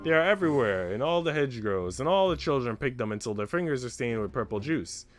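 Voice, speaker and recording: A man reads the part of a young maid in a visual novel, sweet and dreamy. The delivery is earnest and wistful, with lively pitch and music playing underneath. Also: fast, close to an everyday voice.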